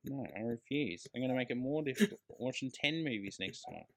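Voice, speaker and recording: A man's voice: mid-range voice, animated, fairly quick. An Australian man co-hosting a casual film podcast, talking in a blokey, emphatic way with some swearing. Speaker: podcast co-host.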